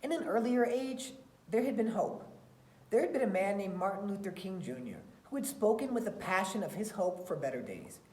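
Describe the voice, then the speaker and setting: A woman teacher reading aloud and talking to students in a deliberate, emphatic way. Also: mid-range voice, big pitch swings, a little mellow. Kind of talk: expressive read-aloud and lesson talk for children. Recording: roomy, echoey sound.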